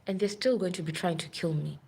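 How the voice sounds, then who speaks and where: A woman's voice: on the low side, a little fast, creaky, a little mellow, breathy edge. A woman who works as a nurse, telling a long story in a rambling, urgent way on a live stream. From Africa.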